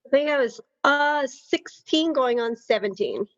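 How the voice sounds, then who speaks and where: A woman's voice: high-pitched, expressive, unhurried, no room sound. Thirties woman from thailand on a muffled call line, chatty, laughs a lot, dry sound. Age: 30s. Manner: chatty, laughs often, self-correcting. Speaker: podcast guest. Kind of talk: casual interview chat.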